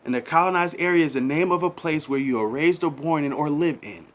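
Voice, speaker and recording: A man's voice: fairly high pitch, fairly quick. A man giving a rambling, preachy monologue, repeating himself, asking rhetorical questions and urging listeners in casual slang. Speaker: commentary channel host. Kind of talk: impassioned monologue.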